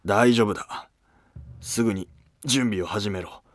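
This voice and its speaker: A man's voice: fairly low pitch, a little slow, dry recording, studio quality, lightly acted. A man playing an ailing, wary old noble who masterminds plots, with terse archaic speech, in a history drama. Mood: terse, scheming.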